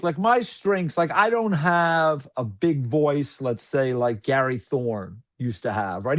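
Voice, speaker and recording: A man who calls hockey games, chatting casually on a podcast in a rambling, filler-heavy, animated way. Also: a little high. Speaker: hockey broadcaster guest.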